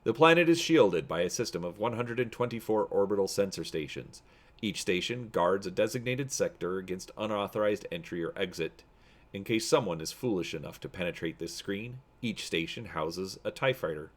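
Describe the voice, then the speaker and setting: A man's voice: low-pitched, lively intonation, normal speed. A man playing an officious, droning imperial officer giving a formal guided tour in a science fiction story.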